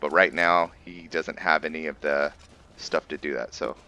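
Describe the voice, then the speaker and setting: A man's voice: a bass voice, little pitch movement, normal speed, sharp tone, muffled recording. A man giving casual let's play commentary, musing and explaining as he goes. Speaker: host of a gaming roleplay series.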